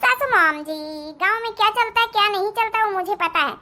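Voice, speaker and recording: Woman playing young rich bahu in a cartoon, really high bright voice, sassy, fake polite, bossy confident. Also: a little fast. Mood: sassy, defiant. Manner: mock-polite, assertive, sugary. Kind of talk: Hindi moral-story cartoon acting.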